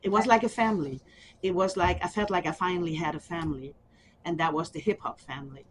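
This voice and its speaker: A middle-aged woman from Germany with a German accent, speaking softly and haltingly with small laughs in an oral history interview. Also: fairly low pitch, a little hiss or hum, poor audio. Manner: soft, halting, with frequent ums and small laughs.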